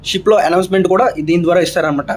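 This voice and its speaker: A man's voice: high-pitched, very fast. A man gives a casual step-by-step vlog explanation, mixing in English terms. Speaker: seafarer vlogger. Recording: clear ambient background noise.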